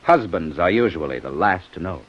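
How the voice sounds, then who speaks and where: Old radio detective inspector, lowish voice, dry and sardonic, keeps probing, dull vintage sound. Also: male voice, expressive, moderate pace, husky. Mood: sardonic, accusing. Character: shrewd, sardonic, methodical male police inspector. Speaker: radio actor. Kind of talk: 1950s radio detective acting. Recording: muffled old-time radio broadcast recording.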